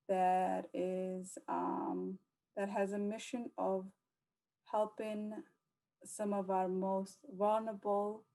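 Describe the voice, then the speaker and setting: A young woman from the United States speaking as a panelist at a virtual conference, measured and careful, often pausing on um. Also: medium pitch, gravelly, warm tone, low-quality recording. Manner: measured, with frequent um fillers.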